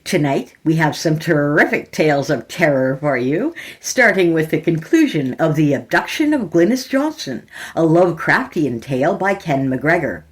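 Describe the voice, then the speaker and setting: A woman's voice: deep voice, big pitch swings, moderate pace. A woman hosting a horror fiction podcast, reading scripted introductions and story narration.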